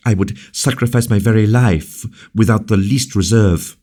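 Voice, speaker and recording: A man's voice: on the low side, normal speed, mellow, pitched above the performer's own voice, close to an everyday voice. A man doing a passionate young court lady. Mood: fervent. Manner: fervent, pleading. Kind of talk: audiobook character reading.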